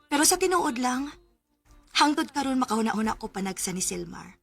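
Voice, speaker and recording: Radio drama role: young Cebuano Filipina, high voice, expressive and sentimental, wary of love, music underneath. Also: female voice, a little fast, creaky, full character voice. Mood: wistful narrating. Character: young heartbroken provincial woman, wary of love, telling her story.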